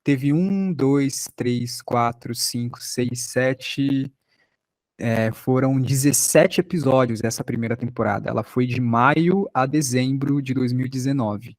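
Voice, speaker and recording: Brazilian male workshop instructor, mid pitch, dry close mic; informal, self-effacing, conversational lecture delivery. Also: slow, no room sound. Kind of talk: informal online lecture, recounting own experience.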